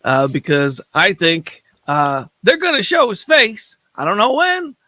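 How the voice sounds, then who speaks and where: A man who has just woken up and sounds hoarse, chatting casually on a gaming podcast and laughing as he talks. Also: high voice, lively intonation, measured pace, pushed and bright, no echo. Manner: laughs often while talking. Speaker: podcast panelist. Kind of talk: casual chat.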